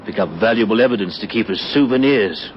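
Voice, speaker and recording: Actor as a low-voiced, wary police sergeant. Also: male voice, lightly acted. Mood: brisk, cautious. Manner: measured, matter-of-fact, authoritative. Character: experienced, wary male police patrol sergeant. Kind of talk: vintage radio drama acting. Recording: dull old radio recording, faint noise.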